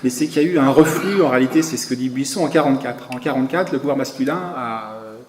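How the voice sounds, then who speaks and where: A man speaking at a conference, emphatic and animated, tagging his points with little asides. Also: medium pitch, fast, a crowd in the background. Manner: emphatic, peppers speech with tag words. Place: conference hall. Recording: echoey room.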